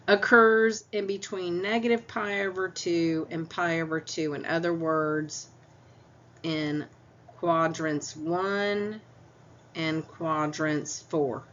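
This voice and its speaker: Female math instructor, lower register, unhurried, stuffy-nosed; dull lo-fi lecture audio. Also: a bit bright. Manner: patient, explains step by step. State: stuffy nose, clearing throat. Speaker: math teacher. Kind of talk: recorded lecture. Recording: dull lo-fi audio with faint hum.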